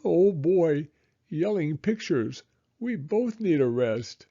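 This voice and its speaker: A man's voice: fairly high pitch, sing-song, slow, dark tone, breathy edge, muffled recording, pitched above the performer's own voice, heavily acted. A man voicing a jittery, bumbling storybook thief with a quavering, dopey manner. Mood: spooked.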